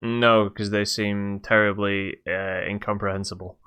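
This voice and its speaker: A man's voice: fairly low pitch, level tone, a little slow, pushed and bright, clear, resonant tone. A man co-hosting a podcast, casually describing and judging games with an opinionated storytelling manner. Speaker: podcast co-host. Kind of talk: casual chat, game review.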